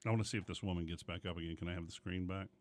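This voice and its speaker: A man's voice: low voice, steady tone, very fast, darkish tone. An American man hosting a morning radio show, bantering casually with co-hosts in a studio.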